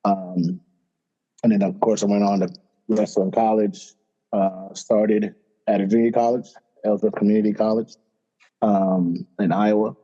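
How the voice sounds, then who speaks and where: A middle-aged man from Florida talks through his past in an easygoing podcast chat. His voice sits low and mellow, and he leans on fillers as he goes. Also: a little slow, long pauses, husky, dull audio. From Florida, USA. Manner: relaxed storytelling with fillers. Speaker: head wrestling coach, guest. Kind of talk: casual interview talk.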